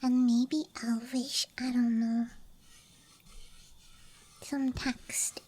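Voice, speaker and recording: A woman giving a hesitant, musing, self-deprecating ASMR ramble in a soft, breathy whisper. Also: on the high side, level tone, drawn-out words. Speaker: ASMR creator. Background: soft night ambience.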